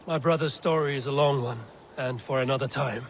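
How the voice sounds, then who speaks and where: An actor playing a measured, strategic Viking war leader in a video game, solemn and deliberate in archaic phrasing. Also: male voice, medium pitch, steady tone, a little hiss or hum, natural voice. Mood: grave, counselling. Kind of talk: dramatic game cutscene acting. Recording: music behind the voice.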